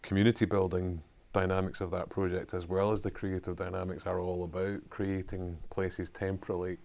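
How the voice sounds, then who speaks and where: A man hosting a podcast conversation, speaking thoughtfully and pausing often with hesitant ums. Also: low-pitched, level tone, gravelly, darkish tone. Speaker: podcast host.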